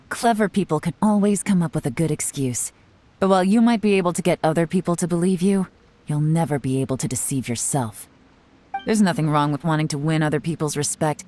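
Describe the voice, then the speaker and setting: A woman's voice: sits low, warm tone, a little hiss or hum, lightly acted. An actress playing a composed, teasing female agent who confronts a suspect coolly. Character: cool, sly female secret agent. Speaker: game character.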